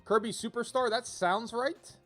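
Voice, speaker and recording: A man's voice: very high voice, a little slow, a bit bright, soft background music. A man casually commentating on a video game as he streams, rambling and thinking aloud as he reads out options.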